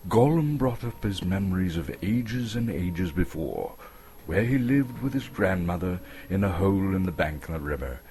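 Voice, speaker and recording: A man from Canada narrating a story as a theatrical, expressive dramatic reading. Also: deep voice, moderate pace, slight background noise.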